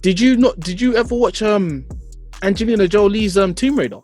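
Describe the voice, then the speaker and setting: A man co-hosting a relaxed chat, using slang and repeating his phrases. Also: high-pitched, a little fast, soft background music. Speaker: podcast co-host. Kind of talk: casual chat among friends.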